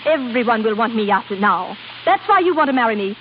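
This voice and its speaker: A high-voiced temperamental opera diva. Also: female voice, very animated, a little fast. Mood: angry, defiant. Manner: dramatic, emotional, breathlessly repeating words. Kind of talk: 1930s radio acting. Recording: muffled, noisy 1930s radio broadcast.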